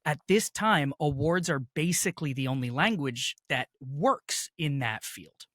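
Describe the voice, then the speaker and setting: Guy getting interviewed, really animated and emphatic, slightly high voice, dry close sound. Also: a little slow, no room sound. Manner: emphatic, persuasive, articulate. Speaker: interview guest, festival organizer and actual play producer. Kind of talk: enthusiastic conversational interview.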